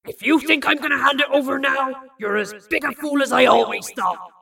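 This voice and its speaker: A woman playing a stubborn, obsessive scientist father in an audio drama, with a strained, forceful and defiant delivery. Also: a little high, unhurried, distant mic, heavily acted. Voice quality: strained, gravelly. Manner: forceful, defiant, screaming and growling.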